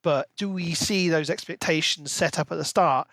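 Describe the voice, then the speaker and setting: A man's voice: a little high, normal speed, creaky, a bit bright, no room sound. A man co-hosting a podcast, talking through a book in a casual, analytical and explanatory way. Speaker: podcast co-host.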